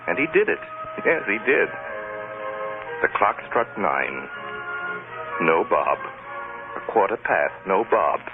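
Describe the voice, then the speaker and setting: A man narrating a story in dramatic old-time radio style. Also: low voice. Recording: tinny vintage radio, music behind.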